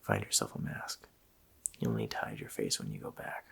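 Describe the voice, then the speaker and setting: A man voicing an evil, mocking child-snatching Christmas demon in a slow, menacing, breathy rasp for an ASMR roleplay. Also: a bass voice, little pitch movement, fairly bright tone, heavily acted. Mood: sly, commanding. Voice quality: breathy, gravelly rasping whisper.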